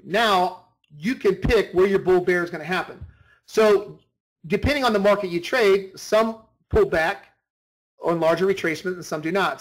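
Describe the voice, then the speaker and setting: A man's voice: high voice, poor audio. A man leading an informal screen-share tutorial as a trading instructor, talking emphatically, repeating himself and checking that listeners follow.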